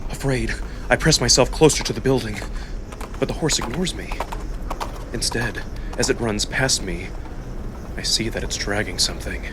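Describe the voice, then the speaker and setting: A man's voice: mid-range voice, normal speed, pauses often, background noise, lightly acted. A man playing a feverish, fearful young man, narrating his nightmares in an intense, emotional first-person style. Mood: hushed dread.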